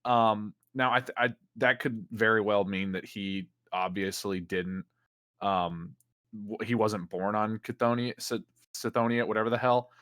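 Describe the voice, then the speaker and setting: A man co-hosting a casual podcast roundtable, talking in animated, joking bursts. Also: on the low side, unhurried, dry recording, clean studio sound.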